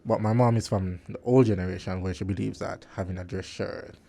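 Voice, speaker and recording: A man, an engineer at the station, chatting casually and relaxed on a radio show. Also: low voice, a little fast, gravelly. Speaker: studio engineer, guest on the show.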